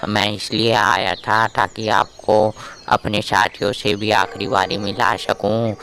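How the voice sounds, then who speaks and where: Man playing bright, creaky eager young lion. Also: fairly low pitch, flat delivery, measured pace, a little hiss or hum, heavily acted. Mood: eager, coaxing. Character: eager young male lion, insecure and keen to prove himself. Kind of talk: animated moral-story acting.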